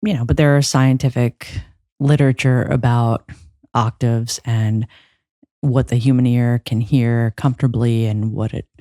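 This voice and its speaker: A woman's voice: extremely low pitch, a little mellow, dry recording. A woman trial lawyer talking as a podcast guest on a close microphone, reflective, with frequent restarts and fillers. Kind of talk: conversational interview.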